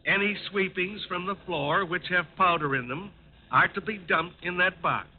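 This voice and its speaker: Actor as patronizing male plant boss, fairly high voice, curt and officious, vintage radio play, narrow tinny sound. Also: normal speed, close to an everyday voice. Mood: stern, patronizing. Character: condescending factory-owner boss with grown sons, curtly officious. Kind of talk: 1940s radio acting. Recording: tinny, noisy 1940s radio recording.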